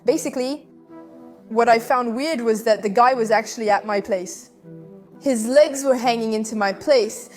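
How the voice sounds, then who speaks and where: Chatty woman recounting, higher voice. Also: normal speed, faint music behind. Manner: animated, conversational, frequent fillers. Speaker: guest. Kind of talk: casual storytelling interview.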